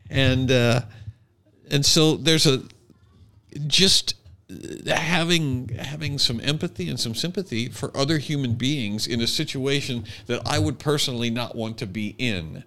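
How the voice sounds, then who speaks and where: A man talks casually as a podcast co-host. His voice is average in pitch for a man. He often halts and restarts while searching for the right phrase. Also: unhurried, sharp tone. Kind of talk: casual chat.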